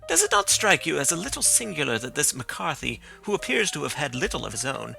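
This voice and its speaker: A man reading the part of a sharp, calm male detective who reasons things out in a measured, precise way. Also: a little high, creaky, faint music behind, exaggerated voice. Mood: reasoning.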